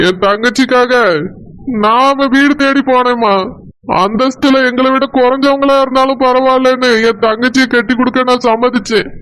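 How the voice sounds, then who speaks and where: Cartoon role: performer doing a curt, bitter dad, high-pitched. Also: a bit bright, rough recording. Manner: curt, stern. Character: stern, bitter middle-aged father.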